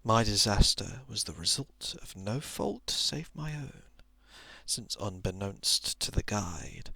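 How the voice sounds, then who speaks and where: Male ASMR narrator: very gravelly, slow and deliberate, soft-spoken with whispered dips, literary reading on a close mic. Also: mid-range voice, no echo. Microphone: close microphone.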